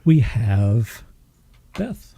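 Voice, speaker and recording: A man's voice: on the low side, sing-song, extremely slow, creaky, warm tone, husky. A man hosting a podcast in casual, chatty banter. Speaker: podcast host.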